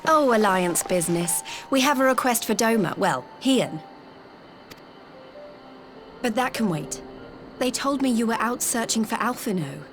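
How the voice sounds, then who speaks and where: Young female envoy for a fantasy game, friendly, earnest, informal, fairly high voice over prominent music. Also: animated, a little slow, pauses often. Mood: concerned. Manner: plain-spoken, informal, earnest. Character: earnest, friendly young woman, rebel turned alliance envoy. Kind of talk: scripted fantasy game cutscene acting. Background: prominent orchestral game music.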